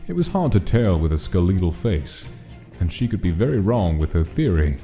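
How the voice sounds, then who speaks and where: Male narrator for a scripted story, low-pitched and velvety dark, light music bed. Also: sing-song, moderate pace. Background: soft music.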